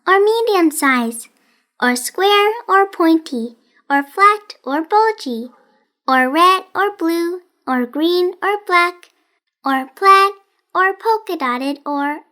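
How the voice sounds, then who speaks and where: A woman's voice: very high-pitched, expressive, slow, pushed and bright, voice pushed up, heavily acted. A woman voicing a strange, eager little girl in a storybook, chirpy and insistent. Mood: teasing, insistent.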